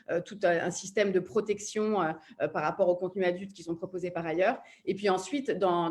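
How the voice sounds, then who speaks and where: Woman thinking aloud on a panel. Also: mid-range voice. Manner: hesitant, repeats words while thinking aloud. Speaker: panelist, audio fiction publisher. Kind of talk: conversational panel discussion.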